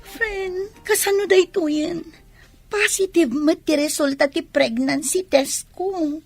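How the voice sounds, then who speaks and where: An actress in an Ilocano radio drama playing an anxious, scheming young student mistress who is pregnant. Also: female voice, very high voice, a little slow, a little mellow. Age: young adult.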